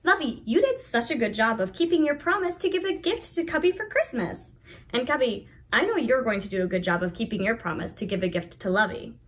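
Woman, thirties, children's show host, encouraging, sing-song, swooping lively pitch. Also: a little fast. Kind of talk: presenting to young children.

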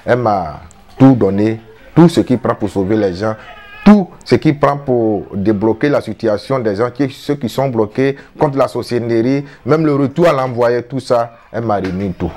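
A man's voice: medium pitch, lively intonation, normal speed, warm tone, roomy sound, recorded from a distance. A man in his fifties, a traditional healer, speaking in an earnest, repetitive, self-promoting way in a casual interview on a veranda. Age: 50s. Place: outdoor veranda by a house wall.

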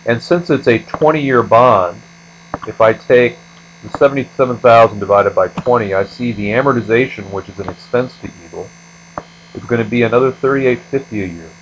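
A man's voice: medium pitch, moderate pace, slight background noise, low-quality recording. A man giving a step-by-step screencast lecture as an instructor, explaining things methodically. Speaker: accounting instructor.

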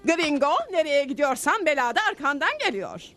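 A scolding cartoon village woman. Her high voice rises and falls sharply, full of reproach, with faint music. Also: very animated, a little fast, fairly bright tone. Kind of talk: animated cartoon dub.

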